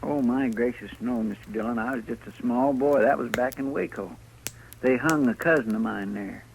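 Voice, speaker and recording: An actor playing an earnest, deferential marshal's sidekick with a homespun, rambling manner, 1950s radio style. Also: male voice, medium pitch, moderate pace, gravelly, natural voice. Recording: faintly noisy old radio recording.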